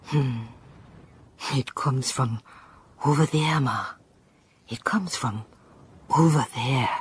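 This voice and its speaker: A woman's voice: very deep voice, slow, breathy edge, full character voice. An actress playing a wary, opinionated old village woman in a radio drama, with a Southern African accent, a cracked voice and emphatic repetitions. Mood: fatalistic, musing. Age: older.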